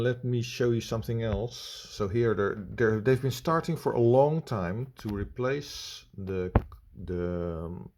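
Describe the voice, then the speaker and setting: A man with a Dutch accent giving unscripted critical commentary in a halting, blunt, complaining way. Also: on the low side, darkish tone. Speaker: video reviewer.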